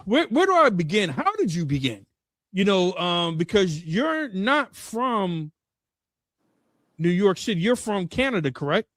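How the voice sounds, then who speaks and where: A man with a notably high speaking voice hosts a casual interview. He speaks excitedly, tripping over his words and repeating himself. The sound is close and dry. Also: unhurried, no room sound. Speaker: interview host.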